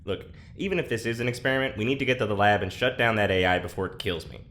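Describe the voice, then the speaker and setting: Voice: medium pitch, very fast, fairly bright tone, lightly acted. An actor playing a scrappy, exasperated test subject in a sci-fi audio drama, talking bluntly. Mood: wry urgency.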